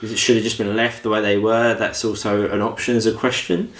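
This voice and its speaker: Low-voiced man co-hosting in an echoey room. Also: little pitch movement, fairly quick, a bit bright. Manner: jokey, self-deprecating. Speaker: podcast co-host. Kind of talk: casual banter with a co-host.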